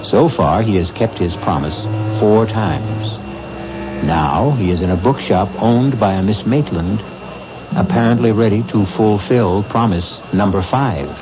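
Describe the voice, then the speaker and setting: Low, grave radio drama narrator. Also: male voice, a little slow, a crowd in the background. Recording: dull old radio broadcast with hiss.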